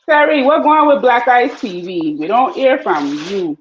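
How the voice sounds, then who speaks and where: Panamanian lady cooking and chatting live, slangy, gets loud and squeaky when hyped, muffled sound. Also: moderate pace, slight background noise. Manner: lively, chatty, slangy, swings high when excited. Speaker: vlogger. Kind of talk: casual live-stream chat while cooking. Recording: dull lo-fi audio.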